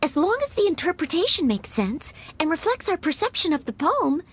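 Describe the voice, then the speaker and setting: Very high-voiced, eager young girl. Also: sing-song, a little fast. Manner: earnest, bubbly. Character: sweet, eager, curious young girl adventurer. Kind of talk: video game cartoon voice acting.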